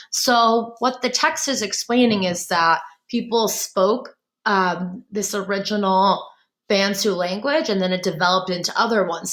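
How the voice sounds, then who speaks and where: A woman teacher reading a textbook aloud to her class, pausing to explain each passage in simple, patient words. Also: medium pitch, fairly bright tone. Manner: reads a passage, then restates it in simple words. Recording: roomy echo.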